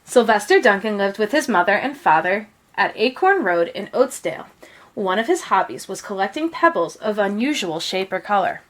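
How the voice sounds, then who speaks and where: A woman narrating a children's picture book aloud in an expressive, careful storytelling manner. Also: mid-range voice, normal speed.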